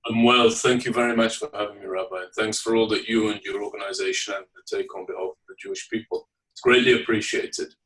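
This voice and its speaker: A man's voice: lowish voice, very animated, fairly bright tone, recorded from a distance, poor audio. A man from England with a Leeds accent, giving formal, carefully worded answers as a guest in a podcast interview. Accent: Northern English, Leeds. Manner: measured, formal, articulate.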